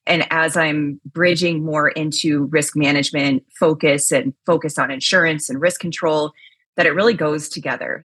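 A loud, energetic woman talking as a podcast guest, full of casual fillers and quick asides. Her voice sits low and sounds a little husky. The recording is close and dry. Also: no room sound. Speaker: podcast guest, chief risk officer. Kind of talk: casual interview chat.

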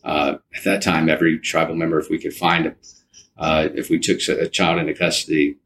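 A man in his fifties answering interview questions conversationally, haltingly, often repeating words and restarting his sentences. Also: a bass voice, little pitch movement, a bit bright, slight background noise. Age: 50s.

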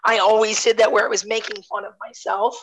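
A woman with laryngitis hosting a podcast, talking in a raspy, hoarse voice and laughing often, recorded over a video call. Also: medium pitch, level tone, brisk. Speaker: podcast host. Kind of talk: casual chat.

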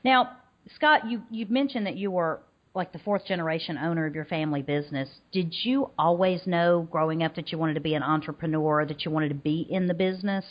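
A woman's voice: low-pitched, brisk. A woman hosting a business podcast in a cheerful, chatty interview style. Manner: cheerful and chatty, with some fillers. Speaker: podcast host. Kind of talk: casual interview chat. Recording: dull lo-fi podcast audio.

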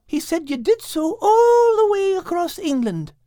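Man voicing a high, coaxing lady dog. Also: sing-song, measured pace, warm tone, no echo, studio quality, heavily acted.

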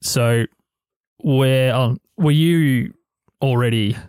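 A man's voice: medium pitch, extremely slow, a little mellow, clear, resonant tone, no echo. A young Australian man hosting a podcast interview, friendly and a bit rambling, speaking in a radio studio. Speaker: podcast host. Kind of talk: interview chat. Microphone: studio mic.

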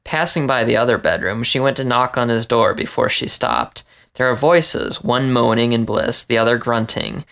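Guy narrating a story audiobook style, gravelly fry in his voice, mid pitch. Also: moderate pace, a bit bright. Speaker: story reader.

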